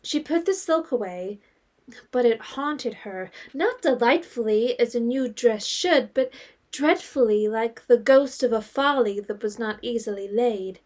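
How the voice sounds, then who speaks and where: A woman's voice: on the high side, unhurried, a little mellow. A woman playing the composed storyteller of a period novel, narrating expressively and articulately in an audio drama. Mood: grave, wry.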